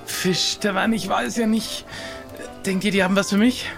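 A man playing a talkative, skittish pirate in an improvised audio adventure play, excitable and prone to repeating himself. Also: high-pitched, moderate pace, gravelly, music in the background. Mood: hesitant. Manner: talkative, excitable, hesitant repetitions. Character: chatty, skittish pirate ship driver and chili lover.

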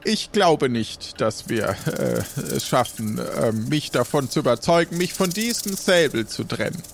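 A man's voice: mid-range voice, animated, a little slow, creaky, slight background noise. A man playing a quirky, self-important old pirate instructor in an improvised audio adventure, speaking in a hesitant, rambling, mock-dignified way. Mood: reluctant.

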